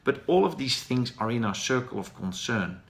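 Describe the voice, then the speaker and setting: A man's voice: medium pitch, big pitch swings, moderate pace, creaky. A man in his forties from the Netherlands with a Dutch accent, talking earnestly and encouragingly on a daily live stream, with frequent ums and uhs. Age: 40s. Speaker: vlogger.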